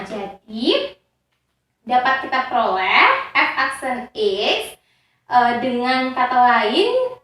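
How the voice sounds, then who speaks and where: A woman's voice: on the high side, sharp tone. A woman teacher patiently working through math steps for students, often repeating herself and checking whether they understand. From Indonesia. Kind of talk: recorded math lesson for students. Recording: roomy echo, voice from far off.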